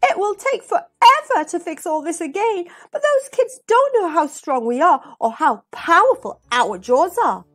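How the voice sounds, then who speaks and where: Woman playing storybook ant general, defiant, worried, high-pitched, emphatic, animated. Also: unhurried, few pauses, heavily acted. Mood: worried, urgent. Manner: urgent, emphatic.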